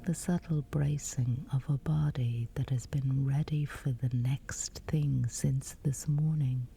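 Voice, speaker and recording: Very slow, whispery low-voiced woman. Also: fairly even intonation, dark tone, slight background noise. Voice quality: breathy, whispery. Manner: soothing, measured, with long pauses. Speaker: sleep meditation guide. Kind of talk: guided sleep meditation, scripted.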